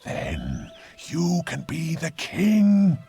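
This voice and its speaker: A man voicing a servile, bitter island monster in a storybook, with slow, drawn-out words. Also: fairly high pitch, huge pitch swings, creaky, warm tone, soft background music, voice pushed up, full character voice. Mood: scheming. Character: strange fishy island monster, servile, bitter, urging murder. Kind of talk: storybook character voice.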